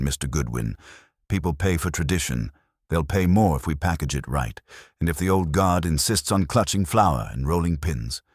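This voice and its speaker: Guy reading as a slick ambitious son in a mystery audiobook, very deep, kinda husky, clipped and evasive. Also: dry recording, clean studio sound. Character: ambitious, evasive grown son of a bakery family.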